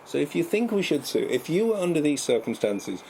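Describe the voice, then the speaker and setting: A man's voice: on the high side, sing-song, a little fast, darkish tone, slight background noise, poor audio. A man co-hosting a casual radio chat, speaking in a wordy, mock-formal way with dry humour. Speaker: radio show co-host.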